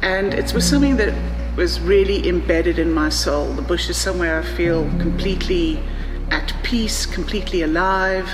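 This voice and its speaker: A woman's voice: lowish voice, normal speed. A middle-aged woman from Zambia with a Southern African accent, telling her own travel story in a conversational, reflective, anecdotal way. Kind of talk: personal storytelling, conversational interview narration. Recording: echoey, reverberant room with music behind. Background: music.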